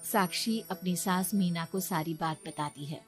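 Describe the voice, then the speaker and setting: A woman's voice: fairly low pitch, a little slow. A woman narrator reading a scripted moral story. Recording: music behind the voice.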